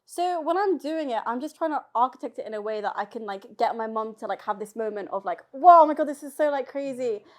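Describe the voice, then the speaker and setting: A young woman, a podcast guest telling a story lively and full of "like". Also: fairly high pitch, fast talker, few pauses. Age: young adult. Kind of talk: casual podcast chat.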